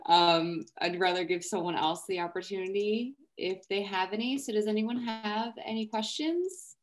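Young woman, medium pitch, casual host, moderating, home video call. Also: moderate pace. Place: indoor home room. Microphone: computer microphone on a video call.

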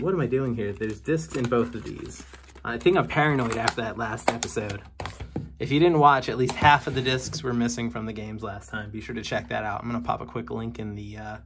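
A man's voice: medium pitch, vocal fry, background noise. A young man casually talking through the games he brought home, wry and jokey as he goes. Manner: chatty, wry, jokey asides. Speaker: video game collector vlogger. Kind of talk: casual unboxing commentary. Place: indoors at home.

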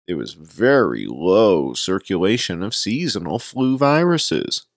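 A man reading a written document aloud as narrator, deliberately, in short, emphatic, measured phrases. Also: fairly low pitch, gravelly, no echo, clean studio sound.

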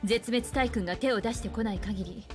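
A composed, assured woman mentor in a Japanese anime game, acted by a woman. Her voice sits fairly high and she explains things with poise, over clear background music. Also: normal speed, natural voice.

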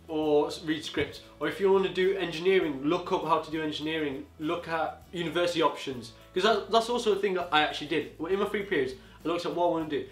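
An eighteen-year-old man giving rambling, casual advice to camera from a living-room sofa, his voice going a bit hoarse. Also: fairly high pitch, fairly bright tone, reverberant. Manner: rambling, chatty, peppered with "you know". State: voice getting hoarse. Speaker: student vlogger. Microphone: camera on a tripod across the room.

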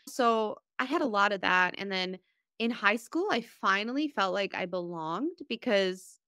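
Earnest Bosnian-born woman, crisp dry voice. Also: mid-range voice, bright, forceful tone, dry recording. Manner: earnest, rambling, emotional storytelling. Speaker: podcast guest. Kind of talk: candid personal conversation.

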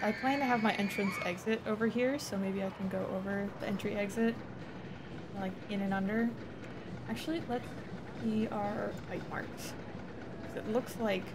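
A woman livestreaming a game, chatting casually, laughing often and swearing freely, her voice a little hoarse. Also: medium pitch. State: slightly hoarse. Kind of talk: casual gameplay chat. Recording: noisy home stream recording.

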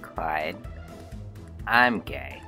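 A woman playing a blunt, bored cartoon teen boy with an extremely deep voice and a flat, deadpan monotone. He sounds unimpressed by everything. Music plays clearly underneath. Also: drawn-out words, heavily acted. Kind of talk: fan dub of comic strips.

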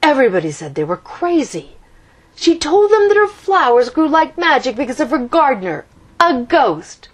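A woman reading a storybook, voicing a calm, matter-of-fact father in a measured way. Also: sits high, pitched above the performer's own voice.

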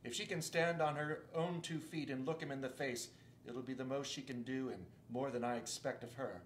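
A man doing a loud, folksy talking beaver. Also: mid-range voice, steady tone, normal speed, a little hiss or hum, lightly acted. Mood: earnest. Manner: rustic, loud and emphatic. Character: folksy talking male beaver, earnest and gloomy. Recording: roomy echo.

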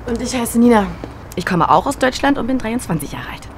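A woman in her twenties playing a cheerful, welcoming German flatmate who hosts her friends. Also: fairly high pitch, brisk, roomy sound, background noise. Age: 20s. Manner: upbeat, welcoming. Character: cheerful young German flatmate, hospitable hostess. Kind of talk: scripted German-learning drama.

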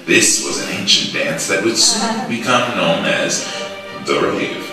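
A man's voice: low voice, expressive, unhurried, over music. A man giving theatrical storybook narration as the narrator of a stage play. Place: small theatre stage, music behind. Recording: echoey stage recording from far off.

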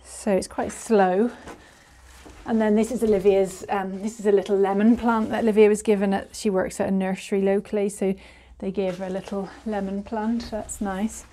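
A woman's voice: mid-range voice, little pitch movement, normal speed, warm tone, a little hiss or hum. Chatty woman touring her garden outdoors. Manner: chatty, hesitant, with frequent fillers. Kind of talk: casual walk-through garden tour. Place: outdoors in a home garden and greenhouse.

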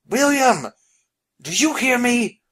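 A narrator voicing a bossy, easily flustered gentleman adventurer in a storybook, pompous and blustering. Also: mid-range voice, sing-song, measured pace, slightly rough, voice pushed up, heavily acted. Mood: commanding, angry.

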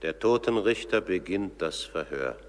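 A man playing the formal announcer of a court of shades, narrating a radio play solemnly and deliberately. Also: low-pitched, lively intonation. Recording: long reverberant hall.

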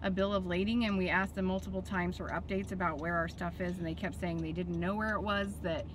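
A woman's voice: on the low side, flat delivery, brisk. A woman talking casually as the host of a family vlog. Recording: very noisy outdoor and vehicle ambience.

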